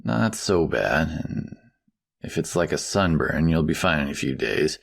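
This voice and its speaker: A man playing a polite, worried middle-aged father who speaks haltingly and gratefully in an audiobook. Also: rumbling low, lively intonation, creaky, husky, heavily acted. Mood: concerned. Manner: halting, polite, soft-spoken hesitations. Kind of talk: audiobook dialogue acting.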